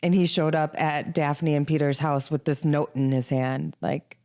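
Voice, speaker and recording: A woman's voice: low-pitched, flat delivery, moderate pace, darkish tone. A woman co-hosting a podcast in a casual, informal conversation about books. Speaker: podcast co-host. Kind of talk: casual book-discussion chat.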